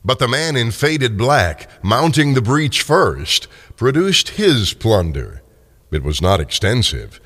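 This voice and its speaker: A man's voice: mid-range voice, very animated, takes it slowly, a bit bright. A man reading dramatic scripted narration as a storyteller, with a long hall-like reverb on the voice.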